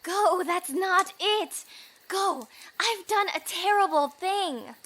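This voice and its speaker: A woman's voice: very high-pitched, animated, takes it slowly, fairly bright tone, heavily acted. A young woman acting in an audio drama as an earnest, pleading bride-to-be who is secretly a bandit. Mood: distressed.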